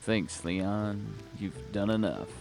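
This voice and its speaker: World-weary male detective, acted by a man, low and gravelly, slow deadpan noir narration for audio drama. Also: over music. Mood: terse, guarded. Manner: deadpan, terse.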